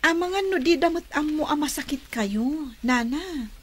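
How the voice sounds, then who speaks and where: A caring young daughter in an Ilocano radio drama. Her voice is very high, with an Ilocano accent and a dutiful, helpful manner. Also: animated, normal speed. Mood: gentle, reassuring. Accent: Filipino, Ilocano.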